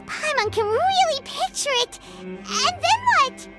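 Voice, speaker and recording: A woman voicing a tiny, curious fairy companion in a video game, with a squeaky, childlike, chirpy delivery. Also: lively intonation, slow pace, exaggerated voice. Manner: chirpy, inquisitive, refers to self by name. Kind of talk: scripted game dialogue. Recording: music behind the voice.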